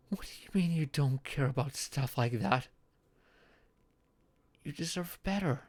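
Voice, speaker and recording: A man's voice: a little high, no echo. A man playing a shy, flustered young social outcast in a romantic audio roleplay, speaking hesitantly with stammers and sighs. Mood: startled, disbelieving.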